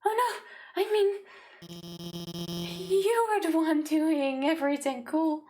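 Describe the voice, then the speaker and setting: A woman's voice: sits high, lively intonation, slow, mellow. A woman voicing a shy, nervous, self-doubting young female scientist from a video game, stammering and earnest. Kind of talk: video game character voice-over.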